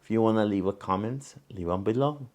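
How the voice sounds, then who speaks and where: A man's voice: lowish voice, fairly quick, darkish tone. A man hosting a children's storytime vlog, thanking a guest and addressing his viewers.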